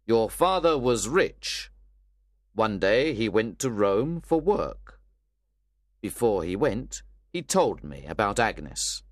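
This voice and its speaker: A man reading a story aloud, voicing a composed, stern older gentleman who lays out accusations firmly. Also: fairly low pitch, dry recording, studio quality. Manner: firm, measured and accusing.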